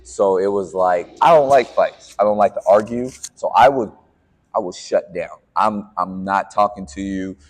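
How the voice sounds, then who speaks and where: A man's voice: deep voice, moderate pace. Laid-back joking man, echoing hall. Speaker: podcast co-host, fitness coach. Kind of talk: casual storytelling chat.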